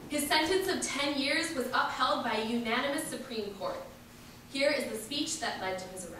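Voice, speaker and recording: A woman's voice: high voice, animated, normal speed, fairly bright tone. A woman reading scripted historical narration as she introduces a speech. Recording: echoey hall from far off, noisy.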